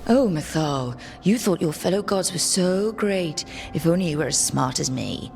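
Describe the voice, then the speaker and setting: A woman playing a wry, quick-witted investigator companion, conversational, in fantasy game dialogue. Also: deep voice, sing-song, gravelly, darkish tone, faint music behind, heavily acted. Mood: wry, reasoning.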